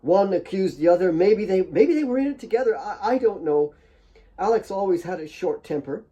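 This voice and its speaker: Man voicing a halting, plaintive, scheming young woman. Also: high-pitched, lively intonation, mellow, voice pushed up. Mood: feigned sorrow. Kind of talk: audiobook character voice.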